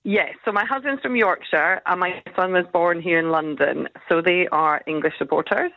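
A woman's voice: fairly low pitch, moderate pace, no echo. A middle-aged woman with a Scottish accent chatting casually as a caller to a phone-in. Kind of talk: casual chat. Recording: narrow tinny phone line.

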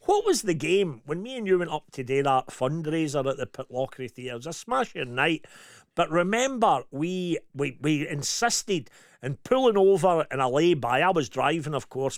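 A man's voice: a little high, dry recording, studio quality. A Scottish man co-hosting a radio show, chatting casually with his co-host and reading out listener messages with a joking manner and plenty of fillers. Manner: chatty, jokey, many fillers. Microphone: studio mic.

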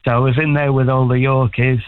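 A sixty-year-old man from Manchester rings in to a radio show and rambles warmly about his life. His voice sits at an ordinary pitch. It comes through a thin, tinny phone line. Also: flat delivery, brisk, smooth, no room sound. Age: 60s. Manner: warm, rambling, chatty. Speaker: caller. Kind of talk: casual phone-in chat. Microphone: telephone.

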